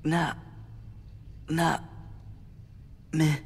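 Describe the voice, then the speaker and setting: A man's voice: a little high, level tone, extremely slow, creaky, slightly rough, big-room sound, full character voice. A man playing an earnest, hot-headed teenage boy hero in a video game cutscene. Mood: puzzled. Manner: earnest, impulsive.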